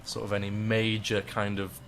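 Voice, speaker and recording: A man's voice: fairly low pitch, monotone, moderate pace, a bit bright, slight background noise. A young man presenting a radio show and interviewing a comedian, hesitant and full of fillers. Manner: hesitant, lots of uh and sort of.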